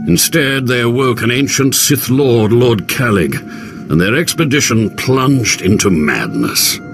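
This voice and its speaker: An actor playing a grave, urgent Sith scholar in a video game, speaking in a theatrical, formal, foreboding way. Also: male voice, medium pitch, lively intonation, a little slow, faint music behind. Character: grave, urgent Sith scholar, male, warning of ancient dead. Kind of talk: dramatic game cutscene acting.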